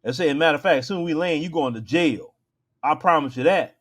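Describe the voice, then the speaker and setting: A man's voice: a little high, quick pace, breathy edge. An American man hosting a show, giving casual opinion commentary in an emphatic, colloquial, conversational way.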